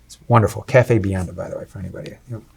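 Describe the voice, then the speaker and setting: A man, a restaurant owner talking as an interview guest, energetic and salesy with many fillers and restarts. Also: low-pitched, very fast, creaky, husky. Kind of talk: casual interview talk.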